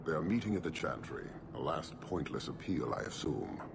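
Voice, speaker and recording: An actor playing an imposing, stern warlord, speaking slowly and with menace in a deep, gravelly voice. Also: male voice, sing-song, very noisy, heavily acted. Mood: menacing. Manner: slow, measured, menacing. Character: imposing male warlord leader, stern and cold.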